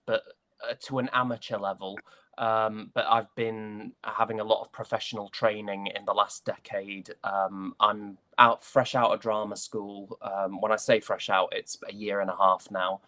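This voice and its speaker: A young man with a Northern English accent chatting casually as an interview guest, often pausing to find his words. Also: lowish voice, flat delivery, bright, forceful tone, dry recording. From West Yorkshire, England.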